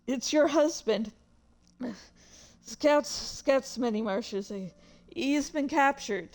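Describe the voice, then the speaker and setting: A woman's voice: sits high, slow, pitched above the performer's own voice. A woman voicing a frantic, breathless courier who stammers urgently in a fantasy story reading. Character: frantic, breathless courier bringing bad news.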